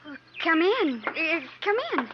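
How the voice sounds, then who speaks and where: A woman's voice: very high voice, animated, a little slow, background noise. An actress playing an anxious, well-bred young lady in a 1950s radio drama, with a refined, earnest, dramatic manner. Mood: guarded, anxious. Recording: muffled vintage 1950s radio recording.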